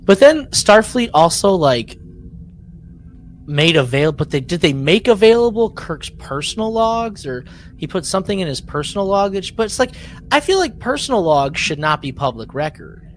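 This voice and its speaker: A man co-hosting a podcast in a casual recap style, haltingly repeating and rewording his phrases. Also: high voice, sing-song, slight background noise. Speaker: podcast co-host.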